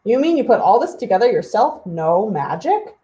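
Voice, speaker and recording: Entitled, incredulous idle brother for a fairy tale, read by a woman, with a mellow edge. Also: medium pitch, big pitch swings, normal speed, lightly acted. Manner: haughty, incredulous. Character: Jamie's lazy, snobbish, entitled brothers. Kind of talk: storybook character voice.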